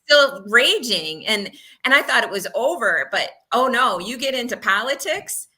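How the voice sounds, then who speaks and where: A woman in her sixties, a guest in a casual chat, telling stories in a lively way with acted-out quotes. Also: a little high, moderate pace. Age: 60s. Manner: lively, animated storytelling with put-on quotes.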